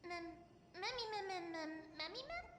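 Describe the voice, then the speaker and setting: A woman voicing a tiny, excitable, bubbly mascot creature in a video game, chirping nonsense syllables between words. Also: very high voice, normal speed. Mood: chirpy. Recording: echoey reverberant sound with music.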